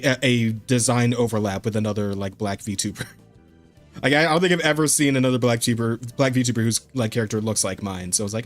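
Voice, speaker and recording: A young man hosting a casual podcast over a voice call, rambling and stumbling over repeated words, often breaking into laughter. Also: mid-range voice. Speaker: podcast host. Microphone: Discord call.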